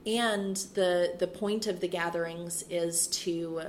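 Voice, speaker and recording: A woman in her thirties, a priest and school chaplain, talking in a relaxed interview with a soothing, reflective manner. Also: on the low side, slow pace, a little hiss or hum. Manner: frequent fillers and restarts, laughs easily. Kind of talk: casual interview conversation.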